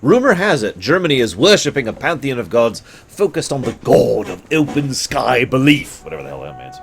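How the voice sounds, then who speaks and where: A man's voice: mid-range voice, very animated, normal speed, a little hiss or hum. A man casually talking through a strategy game for his stream viewers, chatty and given to sudden mock outbursts. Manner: chatty, talks to chat, swings into mock outbursts. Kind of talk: casual live gameplay commentary.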